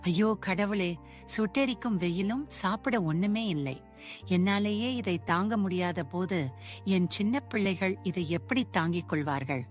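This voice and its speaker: An anxious village mother and wife, voiced by a woman speaks in a fretful, lamenting way. Her voice sits at a middle pitch, with soft music beneath it. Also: normal speed, natural voice. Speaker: voice actor. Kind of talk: dubbed cartoon acting. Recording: music bed behind.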